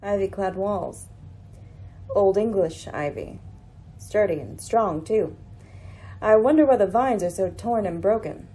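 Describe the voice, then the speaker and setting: A woman acts as a lady detective in a radio mystery drama. She is composed and dryly ironic, with a mellow, slightly rough voice and poised, formal phrasing. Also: medium pitch, a little slow, pauses often. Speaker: actor in an amateur radio play.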